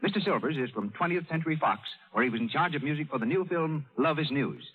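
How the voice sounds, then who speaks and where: A man's voice: a little high, animated, quick pace, slightly rough. A man working as a radio announcer in the 1930s, reading scripted credits with formal delivery. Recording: dull 1930s radio broadcast.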